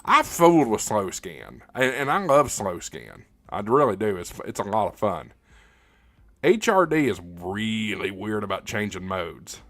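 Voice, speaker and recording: A man's voice: fairly low pitch, sing-song, unhurried, fairly bright tone, no echo. A man hosting a casual livestream, reading out viewer comments and answering in a conversational, rambling way as he thinks aloud. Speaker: livestream host.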